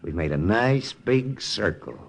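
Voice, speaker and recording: An actor playing a wry, plucky American wartime pilot in an old-time radio adventure, with colloquial, excitable delivery. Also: male voice, fairly low pitch, unhurried, creaky. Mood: wry, weary. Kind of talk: 1950s radio adventure acting. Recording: noisy old radio recording.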